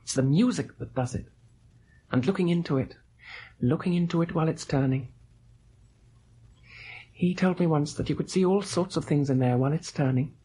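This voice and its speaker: An actor playing a weak, gentle husband of about thirty in a radio drama, husky and halting, with a plaintive, weary manner. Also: male voice, on the high side, poor audio. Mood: uneasy. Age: 30s. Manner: halting, plaintive, self-doubting. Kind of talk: old radio drama acting.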